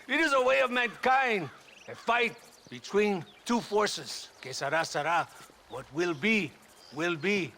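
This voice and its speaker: An actor playing a haughty, menacing Mexican colonel in a video game, gravelly and domineering, with a Mexican accent. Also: male voice, high voice, lively intonation, unhurried, pushed and bright, a little hiss or hum, full character voice. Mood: mocking, fatalistic. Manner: domineering, sneering. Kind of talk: cinematic game dialogue acting.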